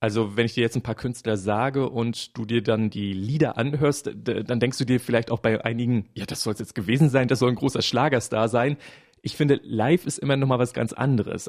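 A German man at an ordinary mid pitch, talking in a relaxed and upbeat way. He explains casually, with a few fillers along the way. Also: brisk. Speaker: radio colleague and schlager fan.

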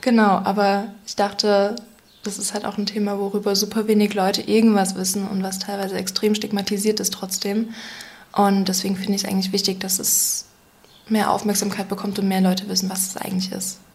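A woman in her twenties from near Leipzig, telling her story as a podcast guest in a relaxed, hesitant way with frequent fillers. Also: medium pitch, a little fast. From eastern Germany, near Leipzig. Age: 20s. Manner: relaxed, searching for words with frequent "ähm" fillers. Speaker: interview guest. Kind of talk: casual personal conversation.

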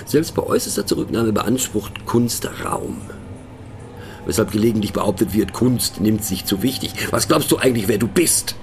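A man's voice: on the low side, expressive, moderate pace, slight background noise. An actor playing a middle-aged male narrator in a radio play monologue, musing and mocking, thoughtful and hesitant, often turning to question the listener. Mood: wry, pondering. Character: musing, mocking male narrator, rambling to fellow train passengers.

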